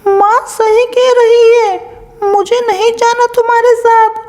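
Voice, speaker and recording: A very high, piercing cartoon crow wife voiced by a woman. She is sharp-tongued and quarrelsome, scolding defiantly at an unhurried, deliberate pace. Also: little pitch movement. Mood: fed up. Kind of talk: Hindi cartoon voice-over.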